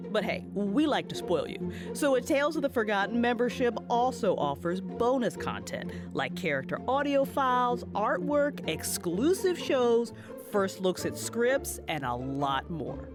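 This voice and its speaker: A woman's voice: mid-range voice, big pitch swings, a little slow. A woman announcer reading a scripted podcast membership promo in a conversational sales style. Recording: music bed behind voice.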